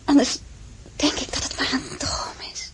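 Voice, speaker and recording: An actress playing a tender, clinging young wife in an intimate, breathy, pleading way in a radio drama. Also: female voice, unhurried, in a whisper, lightly acted. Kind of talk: Dutch radio drama acting. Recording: clearly noisy recording with clicks.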